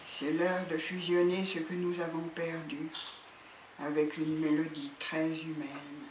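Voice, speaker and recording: A poet reciting solemnly and deliberately at a literary event, pausing between phrases. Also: mid-range voice, completely flat delivery. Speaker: poet reading at a book event. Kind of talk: slow, solemn poetry recitation. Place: indoor literary event room. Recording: echoey room with background noise.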